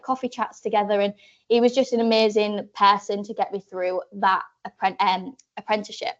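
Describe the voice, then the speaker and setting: A woman's voice: mid-range voice, dull audio. A woman in her twenties with a Yorkshire accent gives an informal, chatty presentation, rambling a little with fillers and restarts. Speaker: presenter giving a talk to apprentices.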